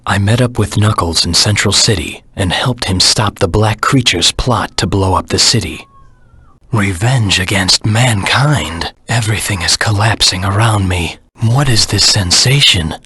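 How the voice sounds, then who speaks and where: Brooding video game antihero, very husky growl, hard-edged and forceful, terse, grim, menacing, vengeful. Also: male voice, on the low side, a little slow, dry recording, exaggerated voice. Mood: pensive, wary. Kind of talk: video game voice acting, dramatic monologue.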